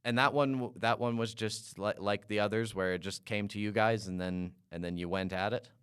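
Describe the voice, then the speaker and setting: Male interviewer, casual and hesitant; clear, resonant tone, close and dry sound. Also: lowish voice, fairly even intonation, fairly quick, a bit bright, no room sound. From Calgary, Canada. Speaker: interviewer, podcast host.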